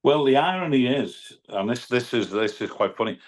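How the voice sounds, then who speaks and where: A man's voice: mid-range voice, expressive, normal speed. A man, a guest in a remote interview, talking in a chatty way and often repeating words as he restarts. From England (Crosby, near Liverpool). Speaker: guest, music venue director and singer-songwriter. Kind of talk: casual interview chat.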